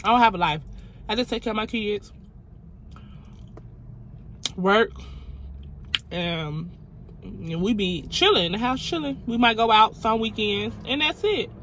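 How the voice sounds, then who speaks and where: A blunt, chatty young woman talks straight to her phone camera from a parked car. Her voice is bright and forward, with a faint hum of car ambience behind her. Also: medium pitch, expressive, a little slow, long pauses. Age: 20s. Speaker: vlogger. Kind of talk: casual talk to camera. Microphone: phone camera on dashboard.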